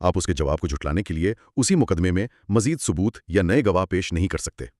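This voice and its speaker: Male co-host, lowish voice, very varied intonation, explanatory, conversational legal lesson, dry close sound. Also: lively intonation, fairly quick, darkish tone, dry recording.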